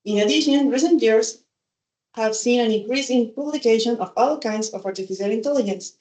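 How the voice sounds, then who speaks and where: Young woman reading formally, mellow. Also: fairly high pitch, fairly quick. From Chile. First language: Spanish. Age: young adult. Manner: careful, formal wording. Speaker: seminar presenter. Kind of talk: reading a prepared presentation. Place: seminar venue. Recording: echoey conference room.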